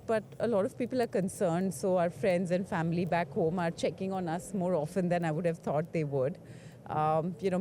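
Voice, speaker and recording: A woman's voice: lowish voice, warm tone, a little hiss or hum. A woman giving casual, chatty answers as a tourist being interviewed.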